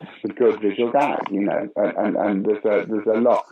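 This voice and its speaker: A man's voice: lowish voice, moderate pace, phone-quality sound, a little hiss or hum. An older British man, a pantomime dame actor, telling stage stories in a casual interview. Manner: storytelling, animated anecdotes.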